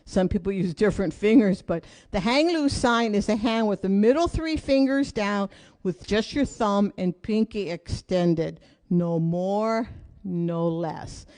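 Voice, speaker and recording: A woman's voice: medium pitch, a little slow, hardly any pauses, darkish tone. A woman teaching an online hula class, upbeat and chatty as she calls out steps, counts and questions to viewers from a room indoors. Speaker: hula instructor. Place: indoor room with curtains closed.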